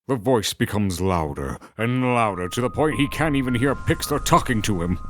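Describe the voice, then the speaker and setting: A man's voice: fairly low pitch, lively intonation, normal speed, a bit bright. A man reading scripted, dramatic story narration as the narrator. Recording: prominent music under narration. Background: music.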